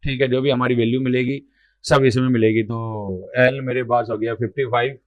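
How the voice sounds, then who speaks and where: Slightly hoarse male math tutor. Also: mid-range voice, steady tone, normal speed, dry recording. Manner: explains aloud while writing, repeats numbers, asks and answers his own questions. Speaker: math teacher. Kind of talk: step-by-step exam problem walkthrough. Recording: dull lo-fi sound.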